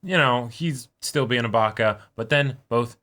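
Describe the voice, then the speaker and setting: A man co-hosting a reaction show, talking casually and thinking aloud in halting phrases. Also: mid-range voice, bright, forceful tone. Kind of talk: casual chat.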